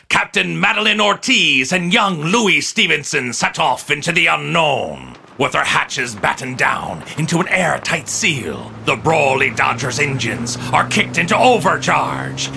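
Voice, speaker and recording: An actor playing an excitable, jumpy pirate-radio DJ who talks in a showy, theatrical announcer style. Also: male voice, a little high, creaky, exaggerated voice. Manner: animated, chatty, showy. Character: excitable, jumpy male pirate-radio DJ living alone on a moon base. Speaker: radio DJ and narrator.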